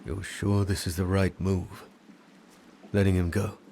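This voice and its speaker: Deep, grave wandering warrior. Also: male voice, flat delivery, unhurried, vocal fry, dark tone, a little hiss or hum. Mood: wary. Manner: terse, subdued, solemn. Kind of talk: dramatic fantasy game cutscene acting. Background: music.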